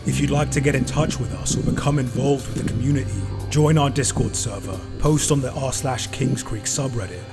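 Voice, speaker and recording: Man reading credits over loud music. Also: mid-range voice, a little fast, hardly any pauses. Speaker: podcast announcer reading credits. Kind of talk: scripted end-credits narration. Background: prominent musical score.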